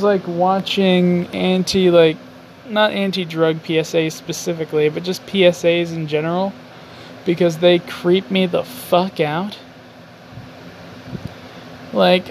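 Young man with a high, gravelly voice. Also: a little mellow. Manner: rambling, self-mocking, swears often. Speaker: podcast host. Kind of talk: casual solo podcast monologue. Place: home garage. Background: faint highway traffic.

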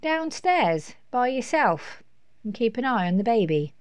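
A woman's voice: a little high, sing-song, measured pace, clear, resonant tone, no echo, studio quality, voice pushed up. A woman voicing a long-suffering, exasperated mother in a children's storybook, firm and weary.

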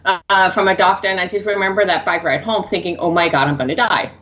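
Lower-voiced Danish-raised woman, lo-fi. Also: fast talker. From Denmark. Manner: relaxed, candid, chatty. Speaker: guest. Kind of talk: casual interview storytelling. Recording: dull lo-fi sound.

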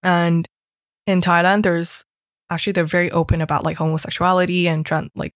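A woman's voice: lowish voice, little pitch movement, a little fast, no room sound, studio quality. A young woman from Hong Kong, chatting casually about her school days and peppering her talk with "like". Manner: chatty storytelling, heavy use of "like". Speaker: guest recalling school experiences. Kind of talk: casual chat.

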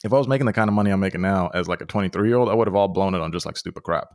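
A man in his thirties hosting an interview, relaxed and conversational, with lots of filler words. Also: deep voice, rapid-fire, no echo, studio quality. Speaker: podcast host. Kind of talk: casual interview.

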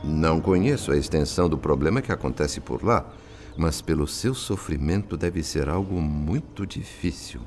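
An actor with a Brazilian accent playing a compassionate, respectful male spirit and worried father, in gentle, formal radio-drama style. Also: a bass voice, normal speed, soft background music. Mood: compassionate, probing. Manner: gentle, formal, earnest, considerate.

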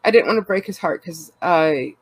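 A woman's voice: on the low side, animated, moderate pace, a little hiss or hum, rough recording. A Canadian woman with a congested, cold-stuffed voice, chatting candidly and rambling, laughing often. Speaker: podcast co-host. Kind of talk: casual personal chat.

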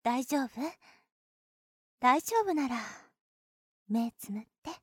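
A woman's voice: high voice, lively intonation, takes it slowly, a bit bright, slightly rough, no echo, clean studio sound, full character voice. An actress playing a young female nurse in an anime-style voice drama, blunt and boyish in phrasing, protective and possessively clingy. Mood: doting, protective. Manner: blunt boyish phrasing, doting toward the patient. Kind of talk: Japanese anime-style situation voice drama acting.

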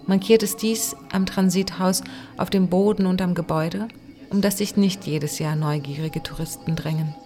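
Low-pitched female narrator. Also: steady tone, moderate pace, warm tone. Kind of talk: scripted literary narration. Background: music.

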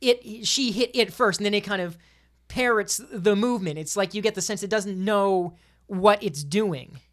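A woman's voice: mid-range voice, moderate pace. Woman in a casual, emphatic film discussion. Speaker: podcast panelist.

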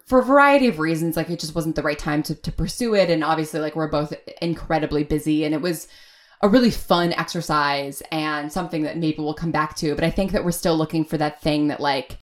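A woman's voice: low-pitched, fairly quick. A woman, talking casually and effusively as an interview guest, rambling and repeating words for emphasis. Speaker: guest, audio fiction creator.

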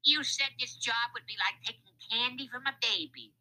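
Sarcastic sidekick crook, played by a man: irritable, complaining male thief, high and forceful. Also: flat delivery, moderate pace, heavily acted, rough recording. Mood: angry. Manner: sharp, complaining.

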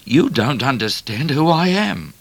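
A man's voice: on the high side, sing-song, a little slow, vocal fry, voice pushed up, exaggerated voice. A man voicing an earnest, easily wounded young outlaw of twenty in a storybook reading. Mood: proud. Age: young adult figure of twenty. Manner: earnest, cross, aggrieved. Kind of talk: storybook character voice.

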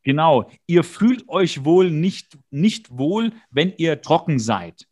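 A man's voice: fairly high pitch, very animated, slow, a little mellow. A man co-hosting a podcast, recapping an episode in casual chat with a jokey, rambling, wry manner. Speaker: podcast co-host.

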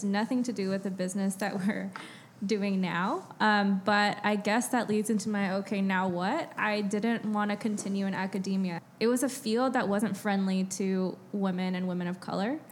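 A woman in her thirties chatting on a podcast, filling her talk with "like" and "um" and laughing easily. Her voice sits at a middle pitch for a woman, with faint noise in the background. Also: steady tone, moderate pace. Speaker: guest, business co-founder. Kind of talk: casual podcast interview.

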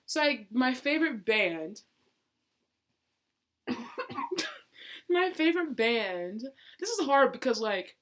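A woman's voice: a little high, sing-song, takes it slowly, long pauses, a bit bright. Hesitant eighteen-year-old girl from Georgia. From Georgia, United States. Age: teens. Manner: hesitant, many "um" and "like" fillers. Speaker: podcast co-host. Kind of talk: casual chat.

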